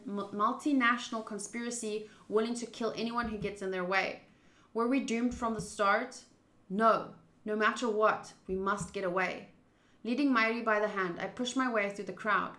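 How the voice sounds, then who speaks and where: Female game streamer. Expressive read-aloud narration, bright crisp-edged tone, roomy echo on the recording. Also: medium pitch, normal speed. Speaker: streamer playing a visual novel. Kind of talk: dramatic read-aloud of game text with casual asides. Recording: roomy, echoing sound.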